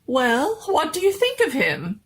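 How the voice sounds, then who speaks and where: Lady doing a fussy excited little mad scientist guy from a spooky storybook, voice swooping up high, gushing, bit of noise behind. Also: a little slow, full character voice. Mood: proud, gushing. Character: excitable little male doctor, doting proud mad scientist. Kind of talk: dramatic reading of a horror-comedy story.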